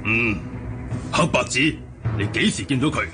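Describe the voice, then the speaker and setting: An actor with a Hong Kong Cantonese accent playing a stern, scornful cult elder in a radio drama, curt and accusing. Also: male voice, mid-range voice, animated, normal speed, loud music behind the voice, lightly acted. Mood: stern, suspicious.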